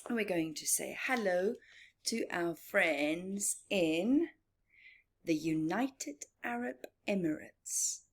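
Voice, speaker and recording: A woman's voice: on the low side, animated, takes it slowly. A woman presenting a story time for young children, talking in a singsong way with repeated words and questions to her listeners. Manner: asks listeners questions and answers them. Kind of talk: children's read-aloud and teaching, addressed to young viewers.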